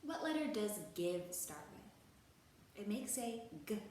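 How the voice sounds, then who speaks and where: A woman's voice: medium pitch, lively intonation, darkish tone. A woman hosting a children's storytime, teaching young viewers in an encouraging manner and carefully stretching out key words. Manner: encouraging, exaggerated slow enunciation of key words. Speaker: children's library storytime host. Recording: very echoey room, distant mic, faint noise.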